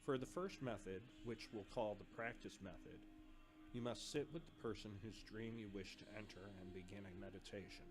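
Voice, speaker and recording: Man playing a direct, low-voiced academy man. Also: moderate pace, over music, lightly acted. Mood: instructive. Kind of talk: audio drama acting.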